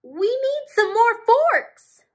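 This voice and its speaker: A woman voicing excitable storybook animals at a party, exclaiming in a drawn-out sing-song way for children. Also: very high-pitched, pitched above the performer's own voice, heavily acted. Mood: excited. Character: excitable high-voiced cartoonish party animals from a picture book. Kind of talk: slow expressive storybook read-aloud for children.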